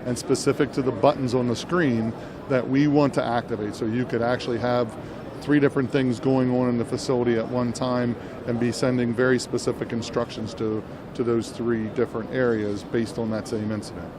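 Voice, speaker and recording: Guy doing explainer chat at a noisy trade show, rewords stuff as he goes, medium pitch. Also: little pitch movement, fairly quick. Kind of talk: unscripted product demo commentary. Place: indoor trade show booth.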